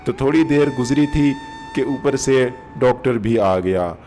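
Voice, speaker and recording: A man's voice: mid-range voice, animated, a little slow, warm tone, slight background noise. A man narrating a moral story for children as a storyteller. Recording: music behind the voice.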